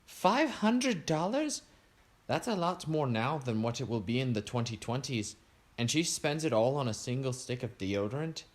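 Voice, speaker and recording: A man's voice: medium pitch, very animated, normal speed, voice pushed up, close to an everyday voice. A man reading aloud, voicing an eager young girl inventor who asks curious questions.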